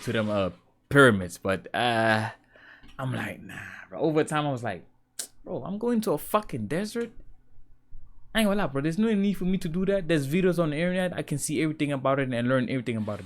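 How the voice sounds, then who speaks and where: Young man, South African accent, slangy, profane, rambling, lively pitch. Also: quick pace. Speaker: reaction video host. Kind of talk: casual reaction commentary.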